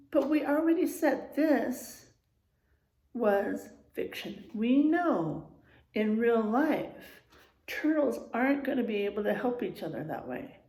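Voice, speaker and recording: A woman teacher reading to young children in an expressive way, pausing for explanatory asides and checking in with her listeners. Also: on the high side. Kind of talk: animated children's read-aloud with explanatory asides. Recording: echoey room sound.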